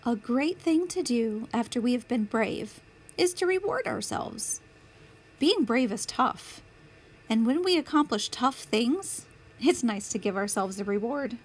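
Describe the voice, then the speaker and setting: A woman reading a picture book aloud as a storytime host, with deliberate, clear phrasing for young children. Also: on the high side, animated. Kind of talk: children's read-aloud narration.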